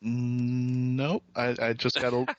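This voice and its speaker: Muffled, mid-pitched male co-host. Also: completely flat delivery, takes it slowly, no echo. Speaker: podcast co-host. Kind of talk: casual interview chat.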